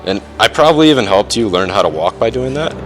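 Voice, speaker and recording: Young male best man, lowish voice, halting informal wedding speech, dry self-deprecating humor, faint music behind. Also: lively intonation, a bit bright, low-quality recording. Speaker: best man, groom's older brother. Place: wedding reception, speaking to guests.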